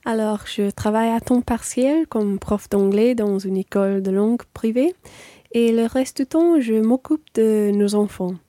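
A woman's voice: on the high side, moderate pace, dark tone, dry recording, clean studio sound, close to an everyday voice. A woman playing a friendly working mother chatting over dinner in a scripted language-course radio play. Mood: conversational.

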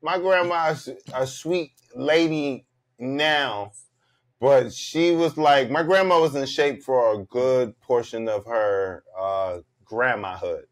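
A man's voice: mid-range voice, slow. A man hosting a comedy podcast, talking in a loose, joking, slangy way. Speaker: podcast host. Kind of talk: casual comedic chat.

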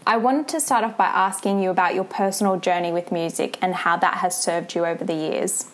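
A woman's voice: fairly low pitch, fairly even intonation, fairly quick. A woman with an Australian accent, speaking as a podcast host introducing and interviewing a guest.

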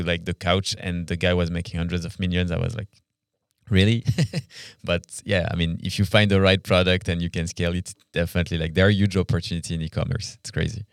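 A man with a deep, clear voice hosts a relaxed, casual interview. His delivery stays fairly flat as he reacts and asks questions in an easygoing way. The sound is dry. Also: no echo. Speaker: podcast interviewer.

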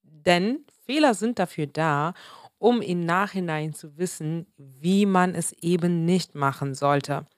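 A woman in her twenties hosting a motivational solo podcast, slightly hoarse and a bit under the weather, speaking earnestly and with emphasis. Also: lowish voice, slow pace, no room sound, studio quality. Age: 20s. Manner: earnest, emphatic, mixes in English words. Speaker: podcast host.

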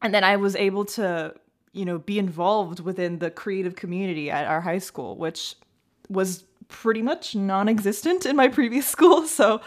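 A woman in her twenties hosting a podcast interview, relaxed and friendly, sharing her own stories. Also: medium pitch, moderate pace. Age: 20s. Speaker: podcast host.

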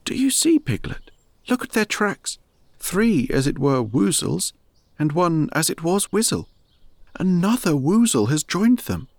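A slow, earnest teddy bear from a storybook, voiced by a man ponders aloud in a raised, gently lilting voice. He drawls his words solemnly, as if every small puzzle were a great mystery. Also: on the high side, sing-song, no echo, clean studio sound, pitched above the performer's own voice. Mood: puzzled. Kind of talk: storybook character voice.

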